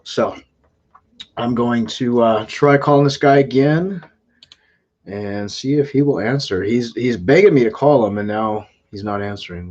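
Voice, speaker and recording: A man hosting a casual live stream, talking in a halting way with frequent filler sounds. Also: on the low side, darkish tone, highs cut off. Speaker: scam-baiting live streamer.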